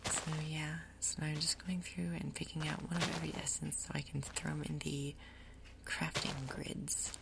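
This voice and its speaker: A woman doing soft-spoken ASMR game commentary in a breathy half-whisper, with a casual, rambling, unhurried manner. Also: low-pitched, fairly even intonation, very noisy. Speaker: gaming video host.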